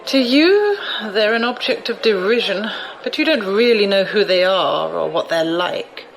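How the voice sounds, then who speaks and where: A woman's voice: mid-range voice, expressive, a little slow, poor audio. An actress playing a sly, amused, commanding old noblewoman in an audio drama, with refined, teasing, theatrical delivery. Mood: wry, commanding. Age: older.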